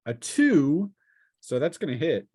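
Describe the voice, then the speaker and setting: A man's voice: on the high side, sing-song, measured pace, warm tone, slightly rough. A man running a tabletop role-playing game as game master, informally narrating combat and explaining rules on an online call. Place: home, online group call. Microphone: close microphone on an internet call.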